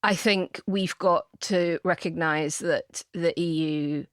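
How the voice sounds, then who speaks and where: A woman's voice: lowish voice, fairly even intonation, takes it slowly, clean tone, dry recording, studio quality. A woman speaking as a panelist in an informal political discussion, explaining things frankly. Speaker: panelist and policy commentator.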